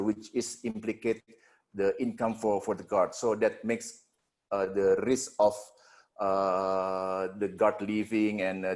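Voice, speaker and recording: Brief: low male panelist, hesitant delivery, webinar call audio. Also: darkish tone. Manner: halting, many fillers and restarts. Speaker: security panelist. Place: video call.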